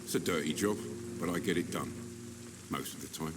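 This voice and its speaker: A man playing a gruff, cynical ambulance driver and gun smuggler in a video game, blunt and bitter. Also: deep voice, fairly quick, noisy background, natural voice. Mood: bitter, weary. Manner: blunt, foul-mouthed, bitter. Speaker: video game character actor. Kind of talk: video game dialogue acting.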